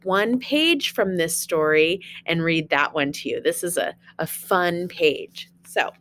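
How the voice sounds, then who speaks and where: A woman's voice: lowish voice, expressive, takes it slowly, a bit bright. A woman teacher reading a children's storybook aloud, expressively, with chatty asides and questions to young listeners.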